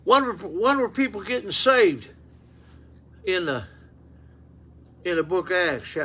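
An older man preaching an informal sermon in a small church, folksy and loud, asking the room rhetorical questions. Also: high-pitched, sing-song, slow, husky. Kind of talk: informal sermon with Bible reading. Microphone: phone camera close up.

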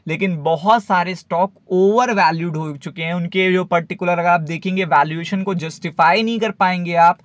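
Man, Indian accent, high voice, dry close sound, explanatory market commentary, repetitive, English terms mixed in. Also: dry recording. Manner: repeats himself, mixes Hindi with English terms. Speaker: stock market video host.

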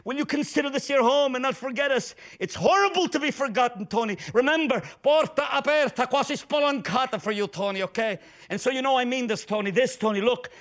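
Gushing department-chair professor, melodramatic and fast-talking, dropping Italian phrases, put on by a man. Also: very high-pitched, a bit bright. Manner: effusive, pleading, repeats the listener's name constantly; sprinkles in Italian and Latin phrases. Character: gushing middle-aged male professor, melodramatic.

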